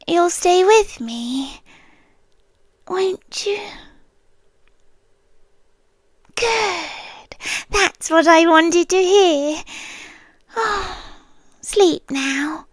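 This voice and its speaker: British woman doing an obsessive guardian angel, soft whispery asmr, high voice, slow and close, dry sound. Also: expressive, dry recording, heavily acted. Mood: soothing, possessive. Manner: soft, intimate, often whispered. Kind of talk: ASMR roleplay.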